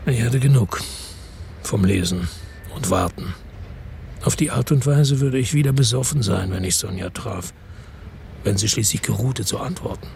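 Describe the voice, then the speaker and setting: A man's voice: lowish voice, moderate pace, vocal fry, long pauses, a little mellow, slight background noise. A man narrating a radio drama in the first person, brooding and introspective.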